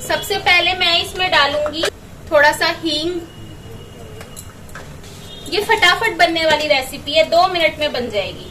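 A woman's voice: very high voice, a little slow, pauses often, pushed and bright, distant mic, slight background noise. A woman explaining a recipe step by step as she cooks in her home kitchen. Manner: step-by-step instructing. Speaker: home cook vlogger. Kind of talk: cooking demonstration.

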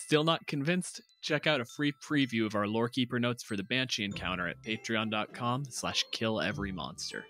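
A sardonic, deadpan man co-hosting as game master, with an exasperated edge to his casual banter. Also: mid-range voice, a little fast, few pauses. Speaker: podcast co-host and game master.

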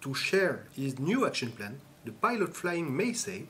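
Lively-voiced man narrating pilot training. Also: mid-range voice, breathy edge. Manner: measured, instructive. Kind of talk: scripted instructional narration.

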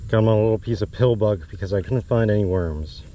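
A man's voice: lowish voice, fast, warm tone, slight background noise. A man chatting easily and thinking aloud as he narrates a fishing trip at a marsh pond, recorded on a body-worn action camera. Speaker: fishing vlogger. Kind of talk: casual outdoor vlog narration.

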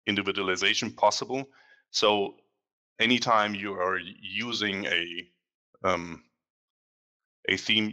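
Man, thirties, casual software demo, headset mic, forceful tone, lowish voice. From Germany. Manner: conversational, frequent "um" pauses, self-correcting. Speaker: presenter at online meetup. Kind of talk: casual product demo presentation. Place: dim indoor room in front of a large screen.

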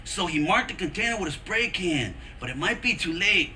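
Excitable, very high-voiced street hustler. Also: male voice, a little fast, a little hiss or hum, heavily acted. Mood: eager, hurried. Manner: slangy, melodramatic. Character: excitable, high-strung male street car thief. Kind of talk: video game cutscene acting.

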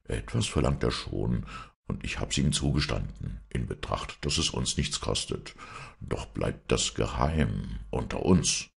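A henpecked, miserly squire from a storybook, played by a man. He speaks in a very low, husky rumble, sheepish and placating, timid before his wife. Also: animated, a little slow, exaggerated voice. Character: stingy, sheepish, meek country landowner, henpecked husband. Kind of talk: dramatized storybook reading.

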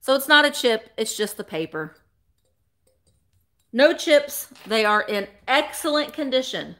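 A talkative woman in her forties with a bright, forceful voice pitched a little high, hosting a live sale. She speaks animatedly into a close webcam in a small indoor room. Her delivery is chatty and upbeat. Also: a little slow. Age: 40s. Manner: animated, talkative, greets viewers by name. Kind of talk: chatty live selling stream. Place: small indoor room full of vintage decor. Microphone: webcam at desk, close framing.

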